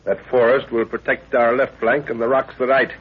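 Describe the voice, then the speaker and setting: An actor playing a middle-aged commander and fond father, brisk and authoritative, in a 1930s radio drama. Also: mid-range voice, flat delivery, slightly rough, close to an everyday voice. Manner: brisk, authoritative, clipped orders. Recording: tinny, muffled 1930s radio recording.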